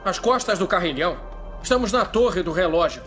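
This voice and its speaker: A man's voice: sits high, lively intonation, fairly quick, a bit bright, soft background music. An actor voicing a confident young man leading a mystery-solving gang in a cartoon dub.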